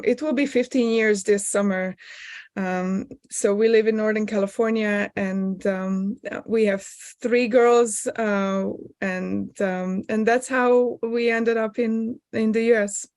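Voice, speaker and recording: Hungarian lady on a podcast, forties, lots of ums, pauses to think, average pitch. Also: fairly even intonation, darkish tone, no room sound, clean studio sound. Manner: thoughtful, many ums and restarts. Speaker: guest. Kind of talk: podcast interview.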